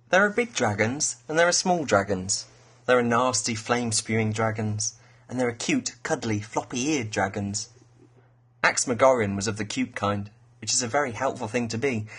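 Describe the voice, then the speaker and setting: Man narrating, slightly husky. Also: medium pitch, fairly bright tone. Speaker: author and storyteller. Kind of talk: scripted children's fairy-tale narration.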